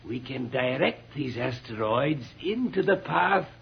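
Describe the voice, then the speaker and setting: Very raspy, calculating radio villain scientist. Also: male voice, mid-range voice, expressive, exaggerated voice. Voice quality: raspy, gravelly. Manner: measured, formal, deliberate. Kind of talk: 1950s radio serial acting. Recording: dull lo-fi old radio recording.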